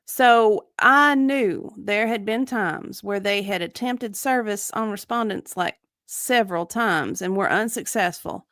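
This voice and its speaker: A woman's voice: medium pitch, measured pace, few pauses, a bit bright, no room sound, studio quality. A woman reading the part of a guarded, evasive witness in a dramatic deposition reading, with careful, hedging answers. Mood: evasive, earnest. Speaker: dramatic reader.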